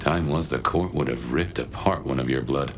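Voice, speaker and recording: Very deep, gravelly vampire. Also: male voice, normal speed. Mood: intrigued. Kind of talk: video game dialogue acting. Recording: muffled, noisy game audio.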